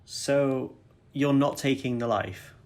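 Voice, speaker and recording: A woman's voice: a bass voice, slow pace, darkish tone. A young woman, a student actor, playing a curious, slightly squeamish listener, asking hesitant questions in a naturalistic audio drama. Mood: curious, uneasy. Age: young adult. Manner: inquisitive, hesitant.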